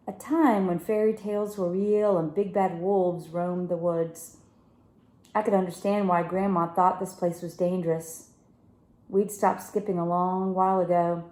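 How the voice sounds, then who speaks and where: A woman reading a children's novel aloud with expressive narration. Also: mid-range voice, roomy sound. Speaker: story reader.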